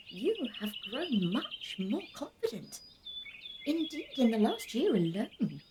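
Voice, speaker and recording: A gracious elder stateswoman played by an actress in an audio drama. She speaks slowly and formally in a fairly high, breathy voice that swoops and sinks toward whispers, with birdsong behind her. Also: noisy background, heavily acted. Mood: gracious, wistful. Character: gracious, fretful ageing female councillor, gently indulgent.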